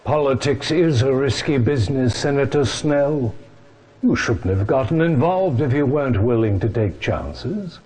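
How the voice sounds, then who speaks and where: A man's voice: medium pitch, lively intonation, a little slow, a little mellow, breathy edge. An actor playing a scheming, imperious male chairman, smug and formal, in a video game cutscene. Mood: smug, calculating.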